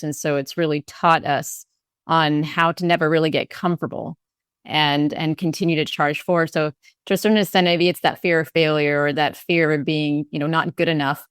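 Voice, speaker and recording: A woman with a low, smooth voice hosts an interview and puts measured, probing questions to her guest. The sound is dry and close to the mic. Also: a bit bright, dry recording. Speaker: podcast host.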